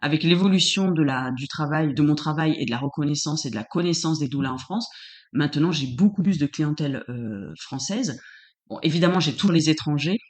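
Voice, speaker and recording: Woman, doula guest on an interview, relaxed and talkative, often restarts her sentences. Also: low-pitched, fairly quick. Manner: searching for words, frequent restarts. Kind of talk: casual interview answers.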